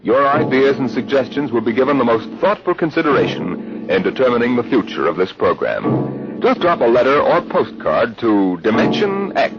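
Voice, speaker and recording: A man announcing in a formal, measured, dramatic 1950s radio style on a vintage broadcast, with music behind him. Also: mid-range voice, sing-song, dull audio.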